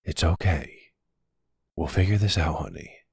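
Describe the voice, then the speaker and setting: A man playing a composed, protective mafia boss boyfriend in an audio roleplay, measured and earnest with a deep, husky voice. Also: no echo, clean studio sound, heavily acted. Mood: tender. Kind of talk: ASMR audio roleplay drama.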